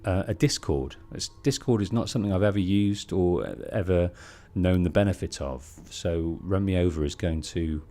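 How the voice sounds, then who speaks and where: A British man with a deep, bassy voice giving measured, polite storytelling commentary as he streams a game, close on the microphone. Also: mellow. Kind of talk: let's play commentary, storytelling. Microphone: close bass-heavy streaming microphone.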